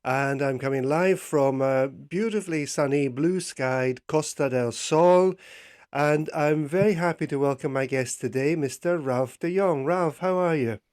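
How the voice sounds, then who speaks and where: A man's voice: on the high side, a little slow, darkish tone. A middle-aged man hosting a radio interview, often repeating words and restarting his sentences. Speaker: radio host.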